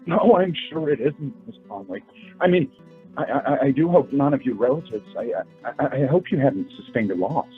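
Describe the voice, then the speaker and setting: An awkward, earnest young man out courting played by a male actor in a radio play. His husky voice swoops with flustered eagerness, on a tinny phone-like recording. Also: medium pitch, expressive, normal speed. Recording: tinny phone line with music behind.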